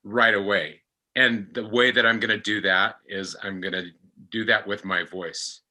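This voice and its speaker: A man with a very sharp, forceful voice, pitched a little low, speaks in a casual discussion. He is halting and deliberate, like an actor feeling out how to explain his work. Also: fairly even intonation.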